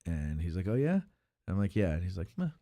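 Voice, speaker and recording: A man's voice: low-pitched, sing-song, fast, mellow, no echo. A man hosting a podcast in casual chat, with a joking, teasing manner. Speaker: podcast host.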